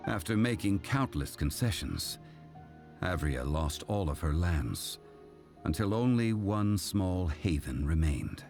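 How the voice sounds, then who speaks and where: Stately old god, super low, slow and solemn, formal. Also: male voice, big pitch swings, music in the background, heavily acted. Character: ancient calm male god, stately and grave. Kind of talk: game voice acting.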